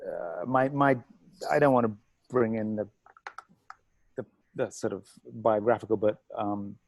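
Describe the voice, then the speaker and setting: A man from England, a guest artist, answering interview questions haltingly with many restarts and fillers over a video call. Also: on the low side, vocal fry.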